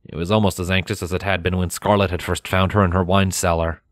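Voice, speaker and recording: A man reading scripted fiction as a narrator, measured and expressive in his storytelling. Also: deep voice, no echo. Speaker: narrator of a fan fiction audiobook. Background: music.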